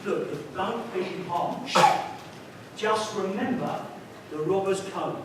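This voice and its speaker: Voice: mid-range voice, slow pace, close to an everyday voice. An actor playing a bumbling, nagging pantomime robber with emphatic stage delivery in a school hall. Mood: flustered. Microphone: distant camera microphone. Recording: echoey school hall, distant microphone, noisy.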